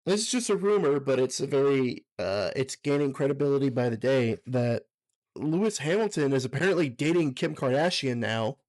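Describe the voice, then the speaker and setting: A hoarse young man recovering from a sickness, co-hosting a casual motorsport podcast in a rambling, filler-heavy way with frequent laughs. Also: mid-range voice, expressive, moderate pace, darkish tone, poor audio. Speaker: podcast co-host.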